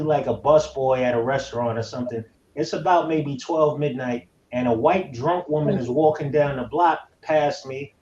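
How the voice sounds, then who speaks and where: Animated New man on a call. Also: fairly high pitch, reverberant, lo-fi sound, slight background noise. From New York. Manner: animated storyteller. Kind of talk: casual chat.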